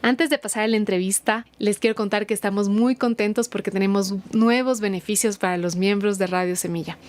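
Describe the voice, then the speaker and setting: A woman's voice: medium pitch, steady tone, a little fast, darkish tone. A woman hosting a podcast, reading an introduction and asking interview questions in an upbeat, welcoming way. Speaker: podcast host.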